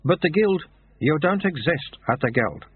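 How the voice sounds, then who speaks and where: A man's voice: on the high side, expressive, normal speed, a little hiss or hum, voice pushed up, lightly acted. A man voicing a mysterious recruiter from a secret order of monks, smug and patiently teasing. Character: mysterious dry-witted male recruiter monk. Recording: tinny phone-like sound.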